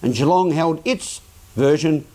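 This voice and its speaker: A man's voice: fairly high pitch, measured pace, mellow. A man with an Australian accent reading scripted links as a television news anchor.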